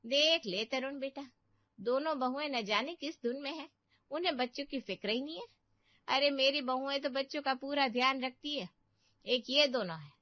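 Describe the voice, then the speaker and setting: A woman acts out a meddling older aunt in a cartoon family tale. Her voice sits fairly high, and she nags, pities and boasts in turn. The sound is close and dry, slightly dull on top. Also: dry recording, lightly acted. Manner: scolding, pitying, boastful. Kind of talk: dramatic Hindi cartoon story acting.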